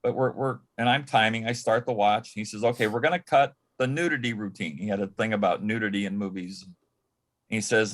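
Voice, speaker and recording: A man telling anecdotes as a guest on a panel, in a halting way, often repeating words and restarting his sentences. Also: on the low side. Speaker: panel guest, former personal assistant to an entertainer. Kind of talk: anecdotal storytelling in a panel interview.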